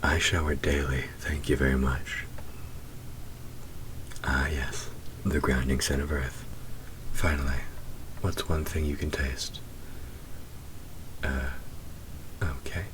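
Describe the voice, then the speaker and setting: A man voicing a teasing, flirtatious winged demon in a roleplay audio drama, speaking intimately and often slipping into breathy whispers. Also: extremely low pitch, flat delivery, slow pace, long pauses, noisy background. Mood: teasing, tender. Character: teasing, flirtatious male demon with wings, secretly grieving.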